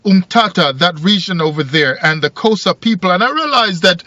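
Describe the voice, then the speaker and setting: A man's voice: high-pitched, animated, normal speed, pushed and bright, no room sound. A man in his forties who grew up in Jamaica, musing aloud to camera from inside a parked car, rambling and repeating himself as he thinks. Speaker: video commentator. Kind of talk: casual monologue to camera. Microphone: phone or camera on the dashboard. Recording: dull lo-fi sound in a car.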